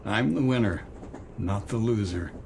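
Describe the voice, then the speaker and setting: A man's voice: fairly low pitch, animated, a little slow, creaky, darkish tone, a little hiss or hum. An actor playing a weary, desperate businessman husband in a radio-style drama, speaking in a hesitant, strained, pleading way. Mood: uneasy, wistful. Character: weary, desperate businessman husband heading home, near breakdown. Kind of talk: dramatic radio-play acting. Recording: dramatic music behind the voice.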